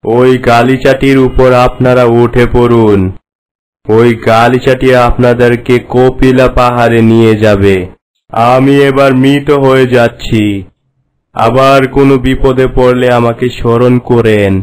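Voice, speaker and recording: A man's voice: medium pitch, level tone, measured pace, a little mellow, smooth, pitched below the performer's own voice, natural voice, poor audio. A man voicing an obedient magic stone spirit in a cartoon fairy tale, speaking in a solemn, deferential, formal way. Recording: music behind the voice.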